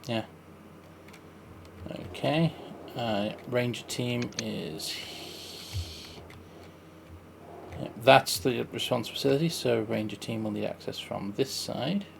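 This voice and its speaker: A man with a creaky, gravelly voice at a middling pitch talks himself through a game. His commentary is casual and half to himself, over faint noise and keyboard clicks. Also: slow pace, long pauses. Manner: thinking aloud, muttering to himself. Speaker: streamer playing a park-building game.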